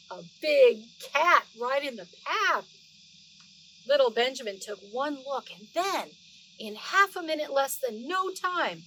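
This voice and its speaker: High-voiced woman reading a storybook to children. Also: a little hiss or hum, low-quality recording. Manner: expressive storytelling, drawn-out words and gentle questions to the listener. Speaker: host of a children's read-aloud show. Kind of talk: storybook read-aloud with chatty asides to young listeners.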